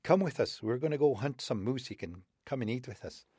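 A man's voice: animated, brisk, a little mellow, breathy edge, dry recording, studio quality. A man voicing a friendly, sly old male wolf leader in a spoken folk tale, coaxing and persuasive. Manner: coaxing, raised pitch.

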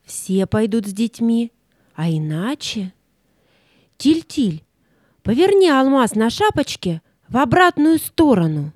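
A woman playing a stern but caring old fairy in a children's audio play, giving measured instructions and commands. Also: on the high side, very animated, darkish tone, dry recording, clean studio sound, exaggerated voice. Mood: commanding.

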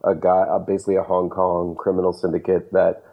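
A man being interviewed as a journalist, explaining at length in a measured way with frequent fillers. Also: sits low, monotone, gravelly, phone-quality sound. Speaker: journalist guest. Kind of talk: interview answers.

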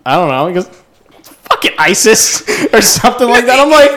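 A man hosting a casual podcast chat, swearing freely and telling animated stories while fighting off a cold. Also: very high-pitched, normal speed. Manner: swears freely, laughs often, animated storytelling. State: lingering cold.

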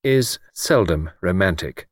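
A man's voice: deep voice, lively intonation, measured pace, dry recording. A man voicing a cool, amused young English woman with a dry, composed, ironic manner. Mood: scornful.

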